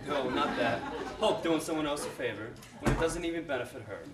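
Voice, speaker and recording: A man playing a blustering upper-class gentleman with a plummy, exclamatory manner. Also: a little high, a little fast, roomy sound, distant mic, a crowd in the background, noisy background, lightly acted.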